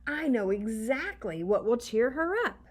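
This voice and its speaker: A woman's voice: fairly high pitch, animated, unhurried, husky, voice pushed up. A woman voicing earnest, wistful and eager second-grade schoolchildren in a storybook, with emphatic delivery. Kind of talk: storybook character dialogue.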